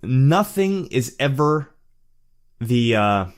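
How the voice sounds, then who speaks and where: A man's voice: medium pitch, big pitch swings, smooth. A man speaking in a casual personal monologue, candid and reflective, often stumbling and restarting his words. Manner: halting, with frequent stammered restarts. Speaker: vlogger sharing personal experience.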